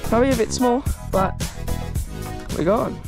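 A young man with an Australian accent giving casual, excitable fishing commentary in colloquial language. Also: extremely high pitch, unhurried, vocal fry, music in the background. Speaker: fishing vlogger. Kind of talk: casual live vlog commentary. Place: outdoors on paddle board on river. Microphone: body-mounted action camera.

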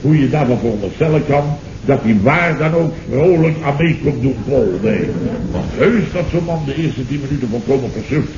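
A man's voice: medium pitch, lively intonation, moderate pace, close to an everyday voice. A man acts out a self-important boss in an old radio comedy. He blusters with exasperated sarcasm, stammering and repeating himself. The muffled, echoey recording has heavy noise. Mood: indignant. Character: blustering, indignant middle-aged male boss, self-important. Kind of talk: 1970s Dutch radio comedy acting.

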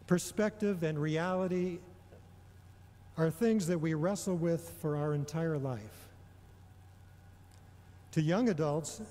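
A middle-aged man delivering a prepared homily in a measured, deliberate way, pausing often between phrases. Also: a little high, warm tone. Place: large indoor church or hall. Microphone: gooseneck microphone on a lectern. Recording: long reverberation of a large hall.

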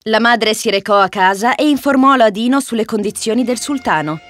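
A woman narrates a children's fairy tale as storyteller. Her voice is fairly high, reading from a script with clear music playing behind. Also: fairly quick, fairly bright tone.

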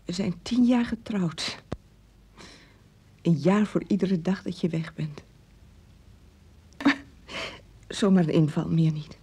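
An actress in a Dutch radio drama playing a quietly reproachful wife in a strained marriage, in a breathy, husky voice. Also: female voice, lowish voice, fairly even intonation, takes it slowly, pauses often, heavily acted. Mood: wistful.

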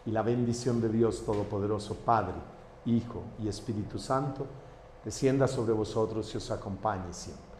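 A man from Colombia, a priest saying mass prayers and giving parish notices in a warm pastoral way. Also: lowish voice, moderate pace. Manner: warm pastoral address to the faithful. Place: church. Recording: echoey church hall with faint background noise.

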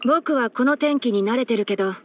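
A youthful fox-eared ranger boy from an anime game , voiced by a woman. The voice sits fairly high and boyish, with the matter-of-fact delivery of a helpful young scholar who explains things frankly and teases gently. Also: brisk, close to an everyday voice. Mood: helpful, composed. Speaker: character voice actor. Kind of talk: anime game character voice lines.

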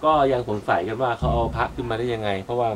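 A man's voice: mid-range voice, flat delivery, quick pace, background noise. A man in his thirties, chatting casually as he films a travel vlog at a cave entrance, his voice picked up by a handheld camera mic. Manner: chatty, easygoing, narrates as he walks. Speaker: travel vlogger. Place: outdoors at a cave entrance on a cliff. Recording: music playing under the voice.

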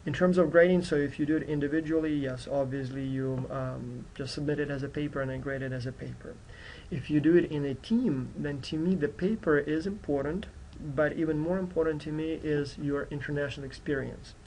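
A man's voice: fairly high pitch, steady tone, fairly quick, darkish tone, a little hiss or hum, poor audio. A man who is a non-native English speaker, giving an informal, explanatory course introduction as a university instructor. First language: not English, which is his fourth language. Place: indoors at a computer.

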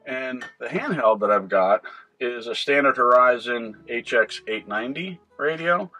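A man's voice: medium pitch, expressive, unhurried, sharp tone, soft background music, poor audio. A middle-aged man with a North American accent, giving an informal, conversational how-to explanation as a video host. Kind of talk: informal instructional vlog. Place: inside a small boat cabin at the helm.

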